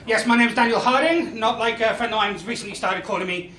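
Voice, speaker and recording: A young man in his twenties with a West Country accent doing a self-deprecating stand-up comedy set with deadpan punchlines. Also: high-pitched, fairly quick, background noise. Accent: West Country English. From Ireland, raised in Spain. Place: comedy club stage. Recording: echoey club with crowd laughter. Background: audience laughing.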